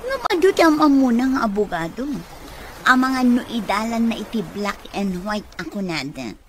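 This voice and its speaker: A woman's voice: on the high side, very animated, moderate pace, slight background noise. An actress playing a greedy, scheming woman in an Ilocano-accented radio drama. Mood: scheming, smug. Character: scheming, greedy woman plotting to forge papers for money. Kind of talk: Filipino radio comedy-drama villain acting.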